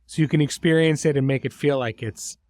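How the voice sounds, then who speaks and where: A man's voice: a little high, a little fast, darkish tone, dry recording. A middle-aged man hosting a podcast in an informal, conversational way, effusive with his praise. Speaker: host and series creator.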